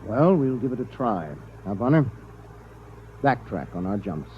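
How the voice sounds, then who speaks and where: An actor playing a commanding, measured spaceship captain in an old radio drama, giving firm, terse orders. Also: male voice, fairly low pitch, very animated. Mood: tense command. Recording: muffled, noisy vintage radio recording.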